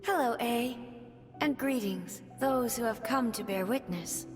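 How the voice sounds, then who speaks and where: A woman voicing a gentle, serene spirit of a departed goddess in a video game, speaking tenderly and formally. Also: on the high side, lightly acted. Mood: gentle, warm. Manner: tender, formal, unhurried storytelling delivery. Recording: long reverb, prominent music behind.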